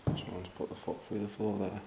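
Urban explorer, man, very low voice, echoey rooms with loud camera hiss. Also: flat delivery, a little fast. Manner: chatty, half-whispered asides. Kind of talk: casual filmed commentary. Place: abandoned house indoors. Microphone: handheld camera. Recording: roomy and noisy camera audio.

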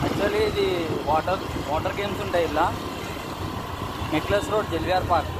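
A man's voice: high voice, fairly even intonation, a little fast. A young man chatting casually to camera as a vlogger, with very noisy ambience around him. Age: young adult. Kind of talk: casual vlog chat to camera. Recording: very noisy outdoor and cafe ambience.

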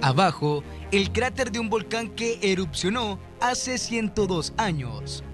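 A man's voice: high-pitched, animated, unhurried, over music. A man narrating a scripted television report as a reporter.

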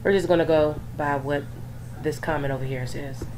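A young woman, hoarse from being sick, chatting in a rambling, casual way with lots of fillers while talking over a screen recording. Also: deep voice, fairly even intonation, normal speed, darkish tone. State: hoarse from illness. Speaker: gaming YouTuber. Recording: faint background noise.

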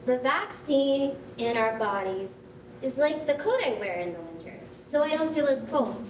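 A woman's voice: high-pitched, expressive, moderate pace. A woman playing a sweet, anxious, curious young girl in a staged children's storybook reading, childlike and hesitant. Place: concrete rehearsal hall, standing at music stand. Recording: distant roomy stage recording, faint noise.

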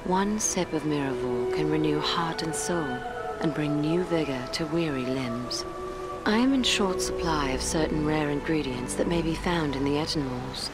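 Low female voice, actress as serene elf noblewoman, formal, courteous, measured, fantasy game dialogue, music behind. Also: lightly acted. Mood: earnest, courteous.

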